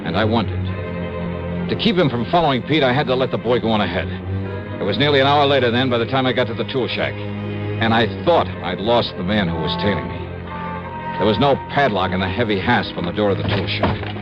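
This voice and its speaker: A man playing a hard-boiled, animated radio detective. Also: fairly low pitch, brisk, natural voice. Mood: brooding, narrating. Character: shrewd, persuasive male insurance investigator. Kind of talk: 1950s radio crime drama acting. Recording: dull vintage radio recording, faint music.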